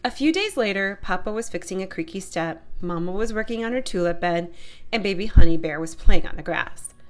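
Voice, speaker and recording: A woman's voice: medium pitch, animated, a little fast. A woman narrating a children's picture book as a read-aloud storyteller. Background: music.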